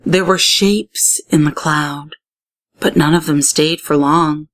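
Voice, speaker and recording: A woman storyteller with a slightly low voice reads aloud expressively. She takes her time, very slow and deliberate, leaving dramatic pauses between phrases. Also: fairly even intonation.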